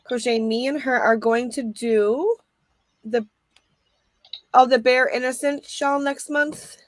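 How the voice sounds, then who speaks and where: Woman co-host, slightly high voice, stuffy nose, dry sound, reads comments aloud. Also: steady tone, no echo. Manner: reads out comments, quick agreeing replies. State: stuffy, sniffling. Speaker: co-host reading viewer comments. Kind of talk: casual chat on a livestream.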